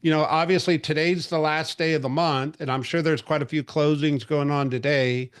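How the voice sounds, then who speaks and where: A man's voice: a little high, fairly even intonation, normal speed, clear, resonant tone. A man in his forties hosting a real estate industry call, talking casually with lots of fillers and restarts. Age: 40s. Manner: chatty, rambling, frequent fillers and restarts. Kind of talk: casual market update briefing.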